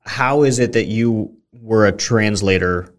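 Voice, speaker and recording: A man's voice: lowish voice, unhurried. A man hosting a casual interview, asking questions in an informal, curious and tentative way. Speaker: interviewer, host.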